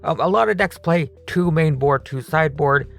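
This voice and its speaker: A man's voice: fairly high pitch, normal speed, gravelly, fairly bright tone, faint music behind. A man with a voice he says he is losing, casually explaining deck upgrades in a chatty voice-over that often repeats itself. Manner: chatty, hedging, repeats himself. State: losing his voice. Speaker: card game deck upgrade guide host.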